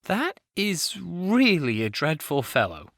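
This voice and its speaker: A man's voice: a little high, wildly swooping pitch, takes it slowly, fairly bright tone, no room sound, clean studio sound, heavily acted. An actor playing a naive young provincial nobleman in an audio play, prissy, anxious and haughty. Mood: alarmed.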